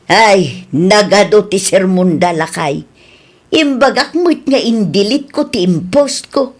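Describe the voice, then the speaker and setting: A woman's voice: medium pitch, expressive, slow. A woman playing a stubborn, complaining village wife in a radio comedy drama, argumentative, with an Ilocano accent. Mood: indignant.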